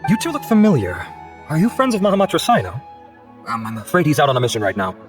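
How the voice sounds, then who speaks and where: A courteous, composed law officer in a video game. He is formal and polite, talking quickly with lively pitch over clear music. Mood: courteous, interested.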